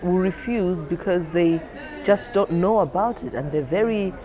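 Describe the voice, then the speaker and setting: A woman's voice: lowish voice, a little slow, a crowd in the background. A woman clinician speaking conversationally as she explains things for a training film.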